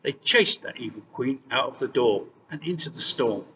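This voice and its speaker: A man's voice: medium pitch, animated, normal speed, bright, forceful tone, distant mic. A man narrates a children's fairy tale with very varied intonation. He sounds set back from the mic in a long reverb, with faint noise underneath. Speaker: storyteller.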